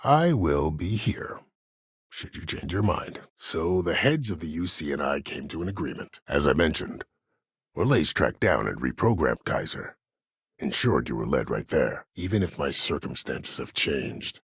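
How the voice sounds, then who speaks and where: Very deep, husky, disgraced admiral. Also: male voice, sing-song, no echo, clean studio sound, exaggerated voice. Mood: measured, calculating. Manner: formal, measured, coolly authoritative. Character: disgraced imprisoned former war admiral, cold, proud, calculating. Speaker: video game voice actor. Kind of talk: dramatic video game dialogue.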